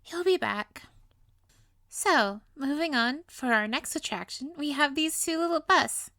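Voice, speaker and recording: A woman's voice: high voice, animated, a little slow, sharp tone, no room sound, studio quality, exaggerated voice. A woman playing a sweet, clingy, obsessively lovestruck young witch in an intimate comedic roleplay, with a cutesy, gushing, playful manner. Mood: cheerful, showing off. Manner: cutesy, gushing, flustered stammers, playful asides. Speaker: audio roleplay voice actor.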